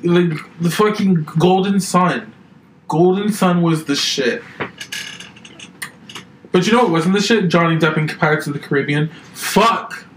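A woman's voice: fairly low pitch, unhurried, pauses often. A tipsy young woman co-hosting a casual podcast, rambling loosely and swearing between laughs. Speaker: podcast co-host. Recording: echoey room, faint noise.

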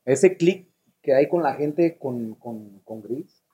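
A man's voice: medium pitch, expressive, slow pace, mellow, breathy edge, dull audio. A man with a Mexican accent joins a casual interview and tells anecdotes straight to the guest. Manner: anecdotal, addresses the guest directly.

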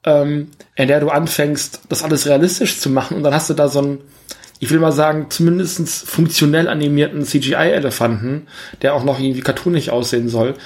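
A man co-hosting a podcast, chatting casually about films and thinking aloud as he rambles. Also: a little high. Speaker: podcast co-host. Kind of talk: casual film review chat.

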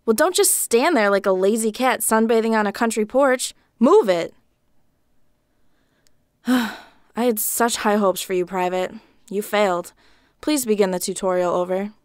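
A woman's voice: medium pitch, measured pace, long pauses, clean tone, dry recording, clean studio sound, close to an everyday voice. A woman playing a bossy, scornful boot camp drill instructor in a comedic video game parody, mocking and barking orders. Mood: scornful, commanding.

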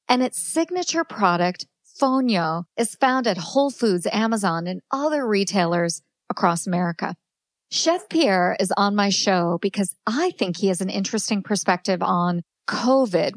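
A woman's voice: medium pitch, no echo, studio quality. A woman hosting a podcast, reading her intro and chatting with a guest in an upbeat, conversational way. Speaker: podcast host. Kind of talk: scripted intro and casual interview.

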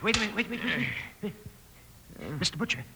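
An actor playing an anxious, excitable townsman in a 1950s radio western. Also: sits high, brisk. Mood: alarmed. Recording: very noisy old radio recording.

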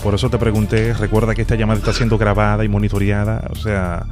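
Man playing a deliberate, solemn official, music behind. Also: on the low side, level tone, close to an everyday voice. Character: stern, unhurried official announcing an impending arrest. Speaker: prank caller, host of a phone prank show. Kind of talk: improvised prank phone call.

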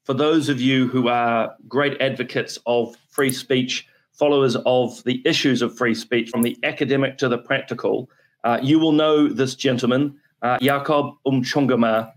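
New Zealand male host, effusive and eager, mid-pitched. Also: fairly even intonation, moderate pace. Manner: enthusiastic, effusive introductions. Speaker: podcast host. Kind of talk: interview hosting.

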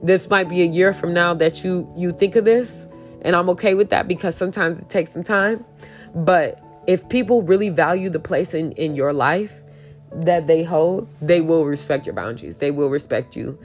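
A woman podcast host with a raspy voice, talking casually and emphatically, repeating key points. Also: lowish voice, moderate pace, a little mellow, faint music behind. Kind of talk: casual solo podcast talk.

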